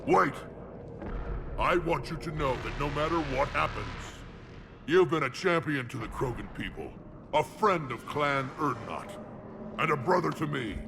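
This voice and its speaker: A man's voice: a little high, expressive, a little slow, creaky, noisy background. A man voicing a battle-hardened alien warlord in a video game, blunt, commanding and loyal. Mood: solemn, rousing.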